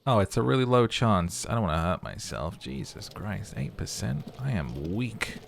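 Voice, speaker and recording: Man, deep voice, hoarse, chatty, self-mocking, game commentary. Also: big pitch swings, moderate pace, a little hiss or hum. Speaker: let's play host. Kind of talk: gameplay commentary and narration.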